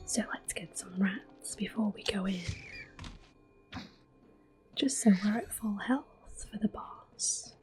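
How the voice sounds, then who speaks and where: A woman giving gentle, unhurried ASMR gameplay commentary in a soft, breathy whisper as she plays. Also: medium pitch. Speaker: gameplay streamer. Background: video game music.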